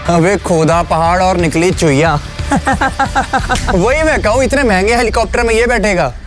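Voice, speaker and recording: A man's voice: high voice, animated, measured pace, music in the background. A man playing a cocky, spiteful young rival in a Hindi audio drama, with a taunting, sneering delivery. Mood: mocking.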